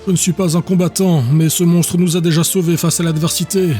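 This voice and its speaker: Man voicing an earnest, urgent man, raised pitch. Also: flat delivery, brisk, warm tone, soft background music, natural voice. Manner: earnest, serious. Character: earnest, urgent male non-fighter among rebels. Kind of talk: audio drama acting.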